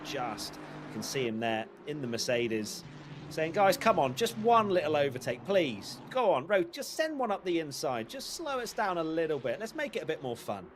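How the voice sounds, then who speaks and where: A man's voice: fairly high pitch, sing-song, background noise. Upbeat male esports race commentator. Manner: brisk, upbeat, laughs easily.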